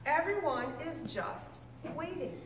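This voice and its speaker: A woman reading a children's book aloud to a class, with expressive, deliberate storybook phrasing. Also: sits high. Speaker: teacher reading aloud to sixth grade students. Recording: echoey hall, distant mic, faint noise.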